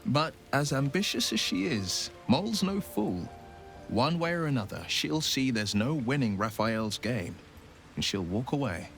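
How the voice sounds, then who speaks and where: A man's voice: mid-range voice, big pitch swings, measured pace, vocal fry, a bit bright, soft background music, full character voice. A man playing an urbane, theatrical character in a fantasy video game, florid and sardonic with an ominous edge. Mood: ominous, concerned.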